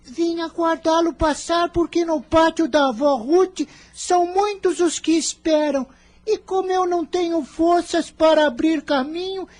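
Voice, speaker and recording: A woman's voice: very high voice, fairly even intonation, unhurried, a little mellow. A woman voicing a poor, frail, timid little boy in a radio drama. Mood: plaintive, timid.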